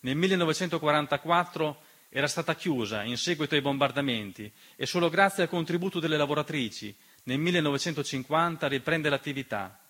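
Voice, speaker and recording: A man delivering a scripted radio theatre monologue, measured and dramatic, with deliberate pauses. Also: a little high, expressive, a bit bright, breathy edge. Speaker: stage storyteller and narrator. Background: piano music.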